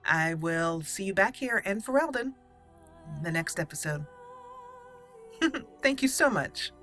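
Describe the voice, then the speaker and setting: Woman, let's play host, casual gameplay commentary, bright tone, medium pitch, soft music behind. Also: animated, unhurried.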